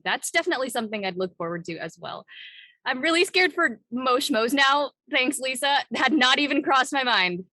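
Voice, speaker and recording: A woman's voice: on the high side, sharp tone, no room sound. A woman on a panel chatting casually in loose, informal phrasing full of filler words. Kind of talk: casual chat.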